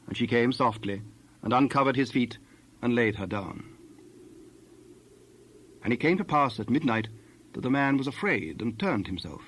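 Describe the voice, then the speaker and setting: Mid-pitched man narrating scripture. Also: expressive, normal speed, long pauses, fairly bright tone, slight background noise.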